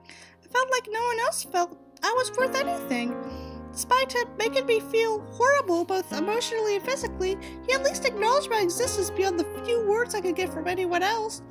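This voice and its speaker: A woman's voice: very high-pitched, voice pushed up. A woman voicing a wounded, pleading teenage girl in an audiobook, earnest, plaintive and melodramatic. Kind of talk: audiobook character reading.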